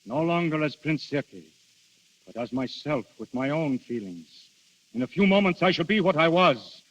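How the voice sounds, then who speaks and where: Immortal Death in love, grand, eloquent, highish swooping voice, muffled 1930s radio recording. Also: male voice, expressive, normal speed. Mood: melancholy, grave. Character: Death in human guise, proud, sorrowful immortal lover. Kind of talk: 1930s radio drama acting.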